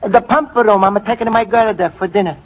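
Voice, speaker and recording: An actor playing an earnest, broke Italian immigrant man on a date, speaking halting broken English with an Italian accent in 1940s radio comedy style. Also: sits high. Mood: earnest, flustered. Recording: dull old radio recording with faint noise.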